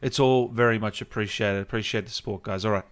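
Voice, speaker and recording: A man's voice: on the low side, steady tone, fast, smooth. A man hosting a gaming livestream, chatting casually and appreciatively with his guest and viewers. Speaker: host of a gaming interview livestream.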